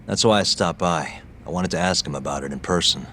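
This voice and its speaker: A man's voice: deep voice, fairly quick, sharp tone, a little hiss or hum. A man playing a laid-back, wry detective hero in a video game cutscene, talking casually and tersely. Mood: matter-of-fact.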